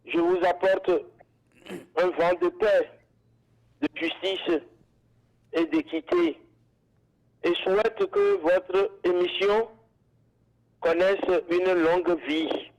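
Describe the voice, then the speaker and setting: A man's voice: sits high, expressive, slow, gravelly, long pauses, darkish tone. A man from Togo, a panelist, giving a formal, solemn self-introduction over a video call. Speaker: panelist guest. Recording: dull lo-fi call audio.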